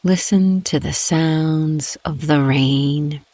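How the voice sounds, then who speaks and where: A woman guiding a meditation in a gentle, calm, unhurried way with long pauses between phrases. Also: low-pitched, no echo. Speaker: guided meditation host. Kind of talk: soothing guided meditation narration.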